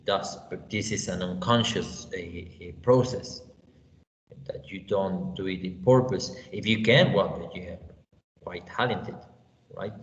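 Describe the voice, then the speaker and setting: A man's voice: low-pitched, measured pace. A man with a Mexican accent teaching an online class, chatty and loose, full of fillers and "you know". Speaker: English teacher. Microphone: laptop on a video call. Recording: echoey, dull, lo-fi, faint noise.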